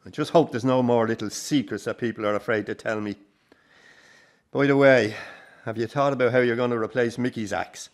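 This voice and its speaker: A man's voice: mid-range voice, steady tone, a little fast, natural voice. An actor playing an older Irish circus grandfather in a radio drama, plain-spoken and rueful, with a salty turn and a fond heart. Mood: hurt, annoyed. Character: warm, disillusioned old Irish circus grandfather. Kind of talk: naturalistic radio drama acting.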